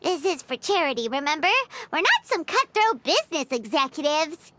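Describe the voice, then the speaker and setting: A woman's voice: high voice, big pitch swings, normal speed, heavy background noise. A woman voicing a small, childlike floating girl sidekick in a video game, chirpy, eager and emphatic. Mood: questioning, bossy. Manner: chirpy, emphatic, refers to herself in third person. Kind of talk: video game cutscene acting. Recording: prominent game music behind.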